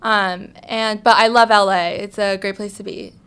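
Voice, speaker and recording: A young woman from Hong Kong being interviewed, answering softly with frequent ums and small laughs. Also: medium pitch, steady tone, moderate pace, clean tone. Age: young adult. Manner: soft-spoken, frequent ums and little laughs. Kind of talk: casual interview.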